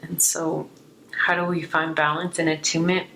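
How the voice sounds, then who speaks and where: Female tarot reader, low register, a touch hoarse, reflective and unscripted delivery, some noise behind the voice. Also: flat delivery, normal speed, pushed and bright, rough recording. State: slightly hoarse. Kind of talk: unscripted spiritual card reading, reflective.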